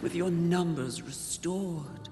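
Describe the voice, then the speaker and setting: A man voicing a seductive demon of lust in a video game, silky and taunting as he coaxes and tempts. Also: on the high side. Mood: mocking. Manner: silky, taunting, drawn-out coaxing. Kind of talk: theatrical video game acting. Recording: echoey hall reverb under loud music.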